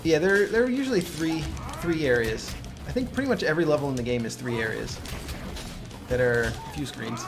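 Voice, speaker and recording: A man's voice: fairly high pitch, animated, a little fast. Explanatory male gaming commentator over music. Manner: explanatory, analytical. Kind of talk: casual gameplay commentary. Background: game music.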